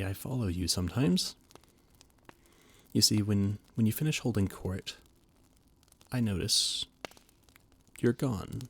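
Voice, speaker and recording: Low, slow, gravelly male voice actor, nervous courtly stammer, audio roleplay. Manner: stammering, flustered, formal and courtly. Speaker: voice actor playing a flustered royal adviser. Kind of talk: audio roleplay, scripted monologue.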